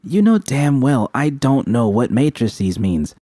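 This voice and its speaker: A man plays a tough, foul-mouthed gunslinger woman in an audiobook, blunt but fond. Her teasing comes in a soft, mellow tone with a very dry, close sound. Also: mid-range voice, sing-song, a little slow, no room sound, voice pushed up. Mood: mock annoyed. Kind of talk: audiobook character dialogue.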